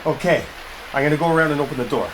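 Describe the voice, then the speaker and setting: A man's voice: on the high side, racing, background noise, natural voice. A man playing an exasperated, sarcastic, stubborn guy in a dramatic roleplay audio, talking impatiently with rain behind him. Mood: wry, hurried. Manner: impatient, sardonic, conversational, with mild swearing. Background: rain storm ambience.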